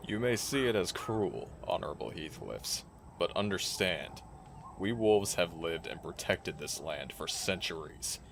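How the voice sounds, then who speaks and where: An actor voices a cocky, rugged werewolf man for an audio drama. The voice is low and creaky, with a slow theatrical drawl that swings widely in pitch. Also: lively intonation, fairly bright tone, slight background noise, heavily acted. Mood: solemn. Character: cocky, teasing male werewolf, loyal and rugged.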